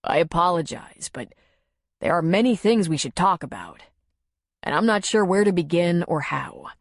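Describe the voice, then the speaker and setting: Woman doing a calm, weary man. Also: dry recording, studio quality. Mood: gentle. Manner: lowered, measured, soothing.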